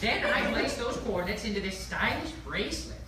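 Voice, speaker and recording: A woman's voice: mid-range voice, expressive, fairly quick, fairly bright tone, close to an everyday voice. A woman playing a cheerful, giddy and eccentric spaceship crew member in a comic sci-fi audio drama. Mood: proud, perky. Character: perky, eccentric female meat-crafting crew member. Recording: very echoey, distant, noisy.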